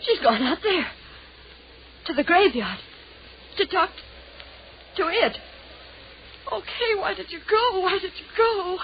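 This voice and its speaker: Distraught, high-voiced young woman, old radio. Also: expressive, takes it slowly, pauses often, exaggerated voice. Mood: bewildered dread. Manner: breathless repetitive pleading, melodramatic. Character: frightened young woman, distraught and unhinged, searching in snow. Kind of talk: old-time radio horror acting. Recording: noisy, muffled old radio recording.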